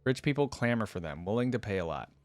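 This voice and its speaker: A man's voice: lowish voice, lively intonation, quick pace, no room sound, soft background music, lightly acted. A man voicing a frightened but defiant young female hunter in a read-aloud story, terse and pleading. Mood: subdued.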